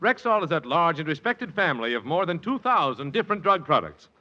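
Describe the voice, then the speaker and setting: A man's voice: fairly high pitch, very animated, fairly quick, bright, forceful tone. An American man reading scripted announcements in the style of a 1940s radio announcer. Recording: muffled 1940s radio recording.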